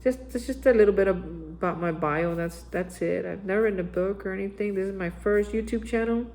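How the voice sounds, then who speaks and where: A man's voice: sits high, brisk, darkish tone. A man giving a daily spiritual recovery reflection as a vlogger, talking earnestly and urging the listener on, often repeating himself and saying "man". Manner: earnest, exhorting, repeats phrases and says "man". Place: Austin, Texas area.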